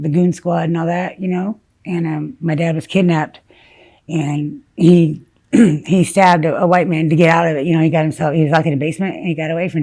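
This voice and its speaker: A woman from South Dakota, whose first language was Spanish, telling her story haltingly in an interview, full of fillers and restarts. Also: low voice, dark tone. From South Dakota, USA. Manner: halting, many fillers and restarts, blunt about grim details.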